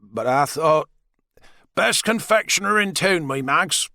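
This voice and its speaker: A man's voice: a little high, expressive, slow, pushed and bright, no echo, clean studio sound, voice pushed up, exaggerated voice. A man voicing a jovial, hearty middle-aged husband who is proud of his wife, in an audiobook.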